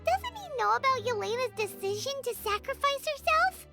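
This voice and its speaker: A woman voicing a tiny, eager, childlike female companion in a video game, with a squeaky voice. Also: big pitch swings, normal speed, gravelly, heavily acted. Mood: earnest, curious. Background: game music.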